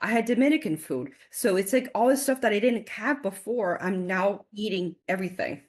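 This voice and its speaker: A woman in her forties, a guest in a casual interview, chatting with animated energy, often laughing and repeating words as she gets excited. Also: medium pitch, normal speed. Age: 40s.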